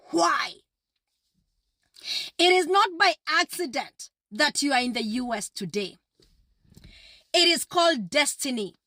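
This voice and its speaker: A woman's voice: high voice, a bit bright, no room sound, clean studio sound. A woman giving a motivational address to her viewers in an emphatic, repetitive, preacher-like manner. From Kenya. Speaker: vlogger.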